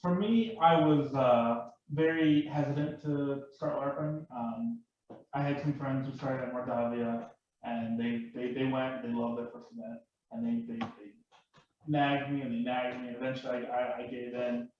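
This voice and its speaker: A man telling personal stories casually as a panel guest, in a halting way that repeats words. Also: mid-range voice, fairly even intonation, darkish tone. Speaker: live action roleplay enthusiast, panel guest. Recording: very echoey room, distant mic, muffled.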